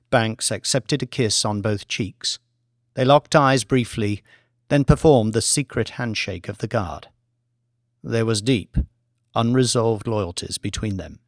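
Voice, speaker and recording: A man narrating a thriller audiobook from a script in a measured, dramatic storytelling manner. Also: medium pitch, no echo, clean studio sound.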